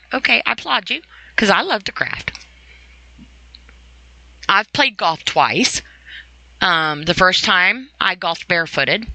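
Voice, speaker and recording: A woman's voice: fairly low pitch, sharp tone, rough recording. A woman hosting an informal, opinionated podcast monologue, speaking emphatically and repeating phrases for stress. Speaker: podcast host.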